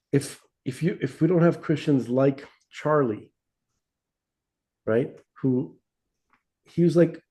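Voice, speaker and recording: A man in casual discussion, mid-pitched with a mellow, dark tone. He rambles and restarts often, checking in with "right?" and "okay?" to keep the listener with him. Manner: rambling, many restarts, seeks agreement with tag questions. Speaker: podcast co-host.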